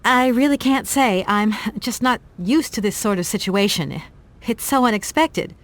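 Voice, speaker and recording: A woman's voice: on the high side, expressive, moderate pace, lightly acted. An actress voicing a polite, bemused young professional woman in an adventure game, speaking in a thoughtful, conversational way. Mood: bemused, hesitant.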